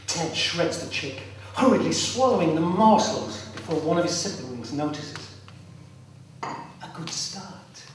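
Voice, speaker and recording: A man narrates a dramatic story in a slightly high voice. He is heard from a distance in a hugely reverberant theatre, with clear noise behind him. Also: expressive, measured pace. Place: theatre, live performance recorded from a distance. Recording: very echoey hall, distant, loud background noise.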